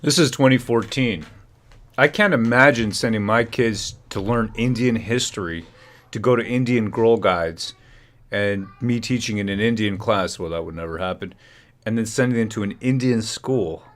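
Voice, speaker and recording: A man's voice: on the low side, moderate pace, fairly bright tone. A man hosting a livestream, rambling sarcastically and talking over clips. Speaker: livestream host. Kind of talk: casual livestream commentary.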